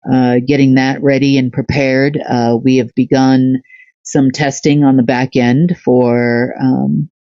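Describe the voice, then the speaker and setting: A woman's voice: very deep voice, level tone, takes it slowly, no echo, highs cut off. A woman hosting a casual spoken daily update, rambling with many fillers and side remarks. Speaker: channel host giving a daily update.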